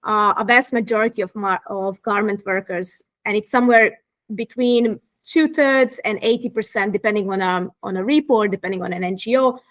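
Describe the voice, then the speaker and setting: A woman's voice: mid-range voice, normal speed, fairly bright tone. A woman in her thirties from Croatia, a fashion activist talking as a guest in a thoughtful, articulate way. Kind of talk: interview conversation.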